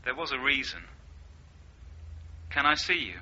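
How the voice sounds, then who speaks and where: Casting: eager young man, very bright tense voice, guarded charm, muffled radio drama sound. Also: medium pitch, lively intonation, unhurried. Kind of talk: 1980s British radio drama acting. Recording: dull lo-fi radio drama recording.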